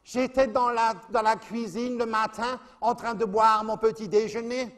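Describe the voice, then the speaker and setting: A man's voice: very high voice, level tone, measured pace, a bit bright. A man playing a chatty middle-aged Alsatian housewife who gossips and confides on the phone, in a comic monologue. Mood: gossiping.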